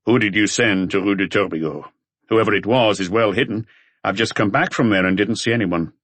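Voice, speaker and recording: A man voicing a calm, authoritative police chief inspector in an audiobook, terse and matter-of-fact. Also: fairly low pitch, brisk, breathy edge, no echo. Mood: businesslike.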